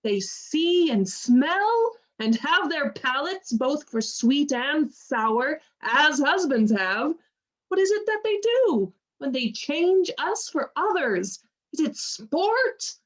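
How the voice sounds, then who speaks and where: A woman's voice: high voice, heavily acted. A woman playing a worldly, outspoken lady's maid in a Shakespearean radio play, with a wry, frank manner.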